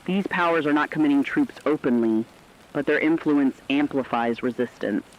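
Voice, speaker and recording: A man's voice: a little high, normal speed, dull audio, lightly acted. A man playing a serious radio war analyst, giving formal, sober and emphatic commentary in a scripted emergency broadcast. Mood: sober, warning. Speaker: news analyst.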